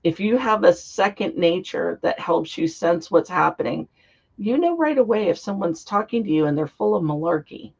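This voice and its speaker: A woman guest, slightly hoarse from a cold, explaining in an earnest, heartfelt way. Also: on the low side, animated, normal speed, darkish tone. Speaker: guest psychic. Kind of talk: interview conversation. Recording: dull lo-fi sound.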